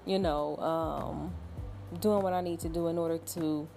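A woman in her thirties talks slowly and easily on her own podcast, in a soft, smooth voice. Her tone is mellow and a little low, and she fills the pauses with ums and small laughs. Faint music plays behind her. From Cincinnati, Ohio. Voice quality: soft smooth late-night voice. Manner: relaxed, chatty, with frequent fillers and soft laughs. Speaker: podcast host. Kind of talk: casual solo podcast talk. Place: at home at night.

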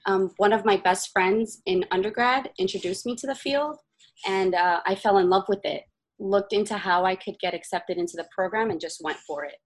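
A woman's voice: medium pitch, normal speed, poor audio. A woman speech-language pathologist answering interview questions hesitantly, with frequent fillers.

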